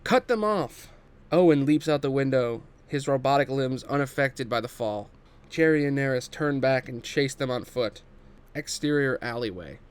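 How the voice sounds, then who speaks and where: A man's voice: mid-range voice, normal speed, clean tone. A man narrating a screenplay's action and scene headings in a scripted table read.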